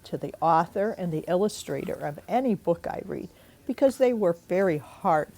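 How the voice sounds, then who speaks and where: An older woman reading a storybook aloud in an expressive, deliberate way, pausing for asides and questions to young listeners. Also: on the low side. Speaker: grandmother reading a picture book aloud. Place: indoors at home.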